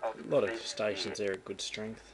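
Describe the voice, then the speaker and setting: A man with an Australian accent and a fairly low voice full of creak gives a casual, halting demonstration of a radio. He is recorded indoors on a camera mic, with loud static and hiss all around him. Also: little pitch movement. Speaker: radio hobbyist demonstrating a receiver. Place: indoors at a radio shack bench.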